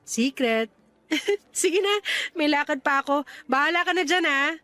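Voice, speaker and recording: A woman's voice: sits high, measured pace, sharp tone, dry recording. Teasing, practical landlady. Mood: practical, playful. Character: friendly, teasing, guarded landlady in her thirties. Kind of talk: radio drama acting in natural conversation.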